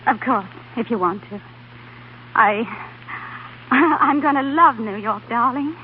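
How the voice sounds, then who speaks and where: A woman playing a tender, anxious, excitable young wife in a 1930s radio drama, breathless and emotional. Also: fairly high pitch, takes it slowly. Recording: tinny, noisy 1930s radio recording.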